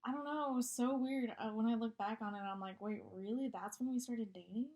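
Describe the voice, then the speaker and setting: A young woman with a voice a little higher than most, speaking in a relaxed, joking way. She is candid and easygoing, and she often trails off mid-thought. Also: brisk, very noisy. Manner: laid-back, joking, candid. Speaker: podcast co-host. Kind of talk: casual chat.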